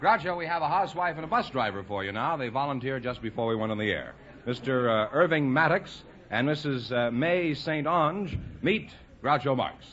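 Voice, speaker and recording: A man's voice: mid-range voice, animated, normal speed, a bit bright. An American man working as announcer and sidekick on a 1950s radio quiz, speaking formally. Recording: muffled, noisy vintage radio recording.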